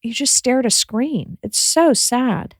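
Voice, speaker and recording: Animated woman chatting on a close, dry mic. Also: a little high, a little slow, no room sound. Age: adult, parent of a child. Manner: animated storytelling, quotes people in dialogue. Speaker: podcast co-host. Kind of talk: casual chat with a friend.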